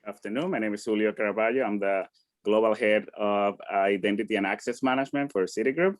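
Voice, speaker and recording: A man from the Dominican Republic introducing himself quickly and proudly on a video call. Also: on the low side, no echo. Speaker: global head of identity management. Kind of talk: casual self-introduction.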